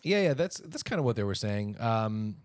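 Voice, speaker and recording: A man's voice: fairly low pitch, big pitch swings, moderate pace, dry recording, studio quality. A man co-hosting a podcast in casual, joking conversation, often acting out little imagined remarks. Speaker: podcast co-host. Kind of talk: casual conversational chat with a partner.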